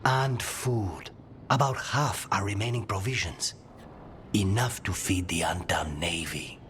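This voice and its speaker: An actor playing a guarded, dryly sardonic assassin in a video game, speaking in a deliberate, understated way. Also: male voice, sits low, fairly bright tone, slight background noise. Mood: dry, measured. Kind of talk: cinematic video game voice acting.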